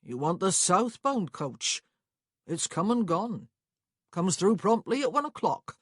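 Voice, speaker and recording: A woman's voice: mid-range voice, very animated, unhurried, slightly rough, no room sound, clean studio sound, pitched above the performer's own voice. A woman voicing a nosy, officious male stagecoach clerk in an audiobook, chatty and rambling. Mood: smugly triumphant. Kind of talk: acted audiobook dialogue.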